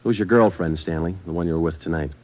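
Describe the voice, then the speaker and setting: A dull old radio recording of a terse police detective, acted by a man. He speaks low and very quickly, in clipped, unemotional questions. The manner is businesslike and steadily pressing. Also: slight background noise, lightly acted. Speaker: radio actor playing a detective. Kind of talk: old-time radio crime drama acting.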